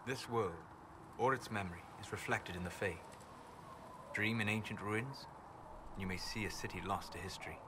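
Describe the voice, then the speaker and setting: Reserved, scholarly elven mage, played by a man for a fantasy game: low, formal, thoughtful delivery. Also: natural voice. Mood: wry, musing. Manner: measured, formal, thoughtful phrasing. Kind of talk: video game dialogue acting. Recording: clear ambient noise behind game dialogue.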